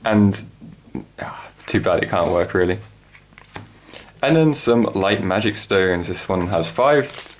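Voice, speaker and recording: Low-voiced man, casual and rambling. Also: a little hiss or hum. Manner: hesitant, rambling, thinking aloud. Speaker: trading card unboxing presenter. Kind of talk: casual unscripted product unboxing commentary.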